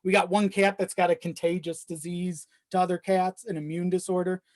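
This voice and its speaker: Podcast guest, mid-pitched voice, rambling casual storyteller with easy swearing, dry recording. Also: little pitch movement, brisk, darkish tone, no room sound. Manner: rambling, often chuckling while talking, swears casually. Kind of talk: casual chat, personal storytelling.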